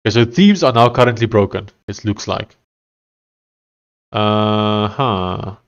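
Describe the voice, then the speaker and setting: A man's voice: lowish voice, slow, smooth, lo-fi sound. A man from South Africa with a thick Afrikaans accent, casually chatting with live stream viewers while he codes, thinking aloud with many fillers and restarts. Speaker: live-streaming software developer.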